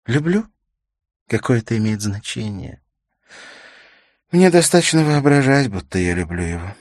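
Male narrator as older widow, grief-stricken, tearful, bitter, pleading, lifted pitch, audiobook drama, dry sound. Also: expressive, normal speed, darkish tone, no room sound, natural voice. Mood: bitter longing.

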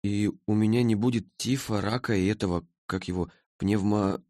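A man's voice: medium pitch, animated, a little fast, darkish tone, no echo, clean studio sound, voice pushed up, natural voice. A man voicing a polite, bewildered schoolboy time traveller in an audiobook, hesitant and earnest. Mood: earnest, anxious.

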